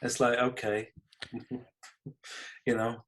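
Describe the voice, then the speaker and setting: A British man with a mid-pitched voice talks in a casual interview. He comes across as modest and self-deprecating, explaining things in a conversational way as the guest. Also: flat delivery, very slow, fairly bright tone. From United Kingdom. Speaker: podcast guest, comedy writer and creator.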